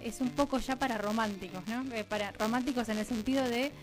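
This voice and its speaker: Radio guest, female, Argentine accent, higher register, reflective conversational delivery, faint music bed. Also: a little fast. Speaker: radio drama producer and guest.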